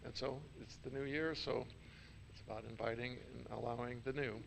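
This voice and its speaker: A man with a deeply gravelly, creaky voice gives the weekly announcements in a church sanctuary. He talks casually and haltingly, stumbling through restarts and fillers, with a touch of self-mocking humor. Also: fairly even intonation, crowd noise, low-quality recording.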